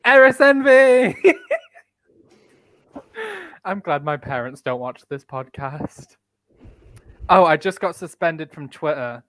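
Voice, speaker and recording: A man's voice: fairly high pitch, animated, slow, pauses often, a bit bright, no echo. A man co-hosting a casual podcast, cracking bawdy, brash jokes. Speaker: podcast co-host.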